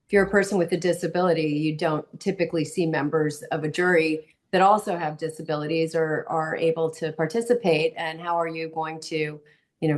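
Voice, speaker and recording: A woman chairing an endorsement interview over a video call, speaking casually with many fillers. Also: low voice. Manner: casual, filler-heavy, friendly. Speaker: committee chair moderating interviews. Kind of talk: moderating an online endorsement interview.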